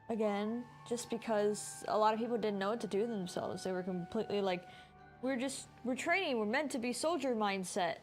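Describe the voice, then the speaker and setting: A woman game streamer giving informal live commentary, often mimicking what the characters are thinking. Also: on the high side. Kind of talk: casual live gameplay commentary. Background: game music.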